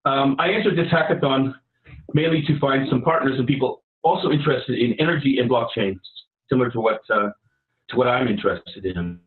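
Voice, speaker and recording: A man with a Canadian accent giving an informal project pitch in a conversational, somewhat halting way. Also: narrow, tinny audio. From Vancouver, Canada. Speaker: editor and hackathon participant pitching a project. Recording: roomy, echoey sound.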